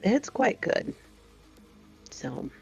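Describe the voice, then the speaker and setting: A woman guest on a podcast, an online story narrator, chatting casually, laughing often and hesitant and modest about herself. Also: very slow. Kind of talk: casual chat. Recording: muffled lo-fi stream audio with faint music.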